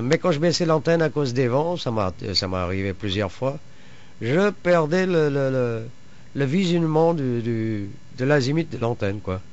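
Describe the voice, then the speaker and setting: A man chatting casually as an amateur radio operator, explaining technical things in a rambling, halting way with trailing asides. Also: fairly low pitch. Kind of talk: casual radio contact chat about home-built antennas. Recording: dull lo-fi radio audio, faint noise.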